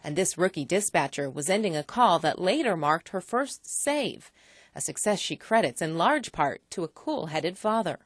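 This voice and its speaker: A woman's voice: on the low side, expressive, moderate pace, dry recording. A woman reading scripted documentary narration as the narrator of a local news-style segment.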